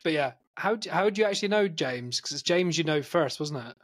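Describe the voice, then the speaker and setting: A man's voice: fairly high pitch, lively intonation, brisk, slight background noise. A man from England hosting a podcast, introducing the episode and chatting bluntly and teasingly with friends. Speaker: podcast host. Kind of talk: casual podcast chat.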